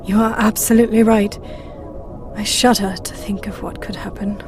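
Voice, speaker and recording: A woman's voice: medium pitch, level tone, normal speed, over music, natural voice. A woman playing a cool, probing half-Vulcan ship's counselor in a sci-fi audio drama, polite, clinical and needling. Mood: concerned.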